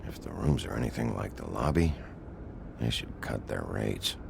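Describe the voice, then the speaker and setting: A man voicing a gruff, weary American lawman in a video game, terse and dry, with a gravelly voice. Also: wildly swooping pitch, normal speed, heavy background noise, exaggerated voice. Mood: gruff, probing. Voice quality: very deep, gravelly. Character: gruff, weary male lawman investigating a murder. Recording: music behind the voice.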